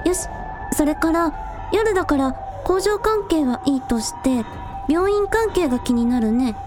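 An earnest young helper acted by a woman speaks in a high voice. The delivery is practical radio drama acting, with music clearly behind it. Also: animated, measured pace. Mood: focused, curious.

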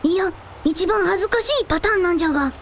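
A very high, lively young dragon prince for a radio drama. He is earnest and boyish, talking in quaint lordly old-fashioned phrases with childlike openness. There is noticeable noise behind the voice. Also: fast talker. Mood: embarrassed. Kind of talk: Japanese radio drama acting.

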